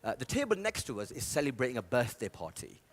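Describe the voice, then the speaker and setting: A man's voice: medium pitch, sing-song, quick pace. A man doing comic storytelling as a stand-up comedian.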